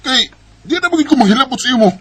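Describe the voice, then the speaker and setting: A man's voice: extremely high pitch, expressive, very fast, fairly bright tone, slightly rough, heavily acted. A man with a Cebuano Bisaya accent playing an evasive, irritable, defensive husband in a radio drama. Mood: irritated. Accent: Cebuano Bisaya Filipino.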